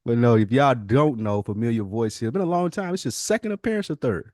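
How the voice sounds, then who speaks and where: A man's voice: mid-range voice, animated, brisk, darkish tone, no room sound, clean studio sound. A man hosting a podcast in casual, slangy, teasing banter. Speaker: podcast host.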